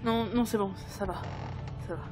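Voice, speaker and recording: A woman playing a sharp-tongued, sardonic book editor in an audio drama. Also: fairly high pitch, normal speed, lightly acted. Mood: tired, gentle. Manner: cutting, sardonic. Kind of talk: French audio drama acting. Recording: music behind the voice.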